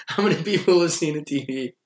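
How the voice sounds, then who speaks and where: A man's voice: fairly high pitch, fast talker. A man chatting casually as a podcast host, informal and wryly joking. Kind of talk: casual chat. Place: room in an apartment. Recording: roomy, echoey sound.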